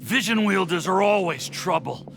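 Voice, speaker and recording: A smug, higher-pitched gangster henchman. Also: animated, moderate pace, gravelly, fairly bright tone, slight background noise, full character voice. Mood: bitter. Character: smug, menacing gangster henchman, slangy and condescending. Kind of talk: video game voice acting.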